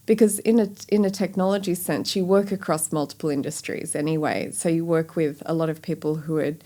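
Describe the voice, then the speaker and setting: A woman's voice: low voice, steady tone, fairly quick, dark tone. A middle-aged woman with an Australian accent, a company founder talking candidly in an interview, with plenty of "you know" and "like". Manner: chatty and candid, many fillers like "you know" and "like". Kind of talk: reflective interview conversation.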